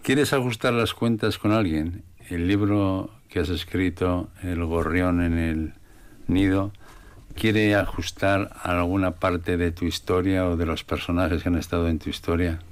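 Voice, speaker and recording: A man's voice: low-pitched, fairly even intonation, unhurried, smooth. A man hosting a radio interview, asking plain, inquisitive questions.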